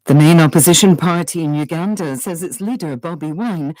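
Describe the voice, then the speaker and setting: A woman's voice: deep voice, a little fast, darkish tone, dry recording. A woman reading a scripted roundup of world news in a studio.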